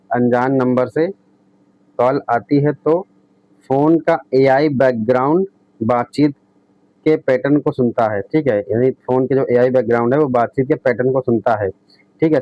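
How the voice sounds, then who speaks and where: A man's voice: mid-range voice, darkish tone, dry recording. Dull-sounding male tech explainer. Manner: repetitive, often adds a checking tag. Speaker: tech video host. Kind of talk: explainer, reading points and paraphrasing them. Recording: dull lo-fi close recording.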